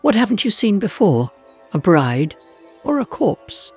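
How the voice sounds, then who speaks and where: A man's voice: high-pitched, very animated, unhurried, slightly rough, pitched above the performer's own voice, full character voice. A man voicing a rude, sardonic undead bride in a storybook tale, with snappish retorts. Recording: music bed behind the voice.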